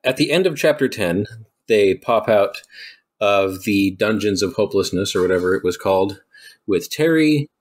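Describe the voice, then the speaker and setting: A man's voice: on the low side, lively intonation, moderate pace. A man co-hosting a podcast in casual book-discussion chat, wry and joking, laughing often. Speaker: podcast co-host.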